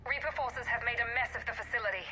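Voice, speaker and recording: A woman's voice: fairly high pitch, fast, natural voice, rough recording. A woman playing a resolute young operative who delivers terse, earnest warnings in dramatic game dialogue. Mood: grim, determined. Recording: game music behind the voice. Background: loud music.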